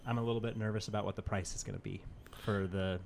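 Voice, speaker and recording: A man's voice: fairly low pitch, steady tone, fast talker, creaky, a little mellow. Casual man chatting over background noise. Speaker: podcast co-host. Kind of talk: casual banter about gaming news.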